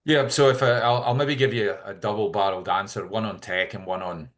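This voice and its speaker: A middle-aged man with a Scottish accent, giving measured, explanatory answers in a conversational interview as a podcast guest. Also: on the low side, fairly even intonation. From Scotland. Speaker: podcast guest, tech company CEO.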